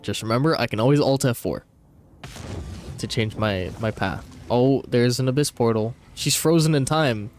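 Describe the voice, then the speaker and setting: A man's voice: mid-range voice, moderate pace. A man doing casual, profanity-laced live game commentary and banter, with a blunt, teasing, slangy manner. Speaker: game streamer. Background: music.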